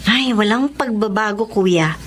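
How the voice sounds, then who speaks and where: A woman's voice: medium pitch, a little slow, slight background noise, natural voice. A young woman playing an earnest, principled, disapproving daughter in a melodrama. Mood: disappointed. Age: young adult. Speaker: actor in a drama. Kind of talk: melodrama dialogue acting.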